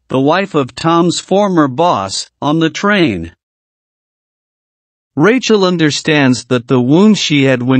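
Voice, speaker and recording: A man's voice: fairly high pitch, no echo. Man narrating a film recap, dry close sound. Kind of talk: scripted plot-summary narration.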